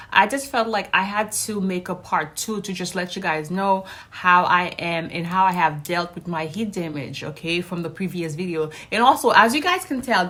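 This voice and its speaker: A woman's voice: mid-range voice, sharp tone. A woman whose native language is Haitian Creole, chatting casually and cheerfully to her viewers as the host of a vlog. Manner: upbeat, chatty, addresses viewers directly.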